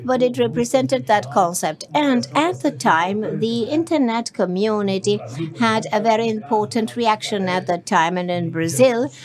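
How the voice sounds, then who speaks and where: A woman giving live interpretation of a conference speech, halting with many fillers and restarts. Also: on the high side, a little mellow. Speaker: simultaneous interpreter. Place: conference hall.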